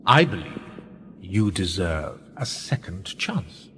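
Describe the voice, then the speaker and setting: An actor playing an urbane, condescending older aristocratic power broker in a radio play. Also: male voice, low voice, very animated, slow pace, sharp tone, slight background noise, heavily acted. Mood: persuasive. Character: smooth, patronising older British aristocratic power broker, knighted. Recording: long reverberant hall echo.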